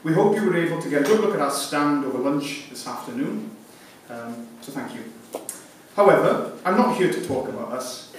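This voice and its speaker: A man's voice: fairly high pitch, lively intonation, normal speed, few pauses. A man in his thirties reading a formal, scripted award presentation speech as a sponsor. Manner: formal, reading from prepared notes. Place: conference room, indoors. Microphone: lectern mic, picked up by distant camera. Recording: echoey room from far off, hissy background noise.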